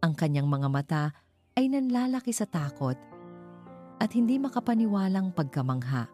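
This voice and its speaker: Woman, story narrator, dark mellow tone, dramatic scripted reading, faint music behind. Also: mid-range voice, sing-song, normal speed.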